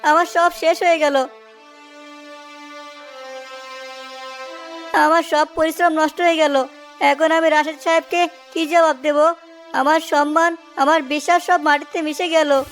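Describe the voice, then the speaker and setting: A woman's voice: high voice, flat delivery, normal speed, natural voice. A woman voicing an honest, hardworking married village man who sells samosas, earnest and emotional, in a moral-story cartoon. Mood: despairing. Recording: music behind the voice.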